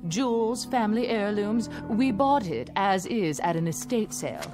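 A woman performs a fussy female shop owner in a radio play. She gushes and exaggerates genteelly, her pitch very lively, with music clearly behind her. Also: normal speed, natural voice. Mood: gushing. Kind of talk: radio drama acting.

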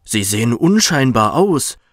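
A man's voice: medium pitch, very animated, unhurried, no echo, clean studio sound. A man voicing a coaxing old male trader in a children's fairy tale.

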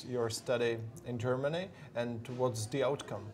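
A man's voice: mid-range voice, steady tone, slight background noise. A man in his thirties with a Czech accent, interviewing a guest with short, prompting questions. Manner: slow, prompting, frequent "mm-hmm".

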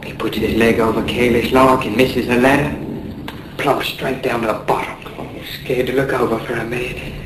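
A man acts a sober, plain-spoken seaman in a radio play. His voice is mid-pitched and grave. The recording is roomy and noisy. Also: level tone, a little fast, natural voice. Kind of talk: radio drama acting.

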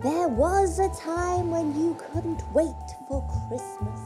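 An exuberant, chatty Christmas spirit acted out by a performer for an audio drama. It speaks high and slowly with big swoops of pitch, scolding and bossy. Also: animated, music in the background, heavily acted. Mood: scolding, eager.

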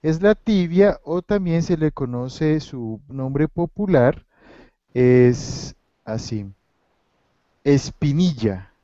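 Lively, low-key male Spanish teacher. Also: extremely slow, no room sound. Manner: mixes Spanish and English, encouraging. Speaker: Spanish teacher running a quiz game. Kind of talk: online class over video call. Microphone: video call. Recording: dull lo-fi video call audio.

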